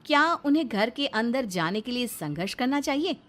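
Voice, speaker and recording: A woman's voice: a little high, expressive, brisk. A woman serving as narrator of a cartoon moral story, reading a dramatic scripted narration.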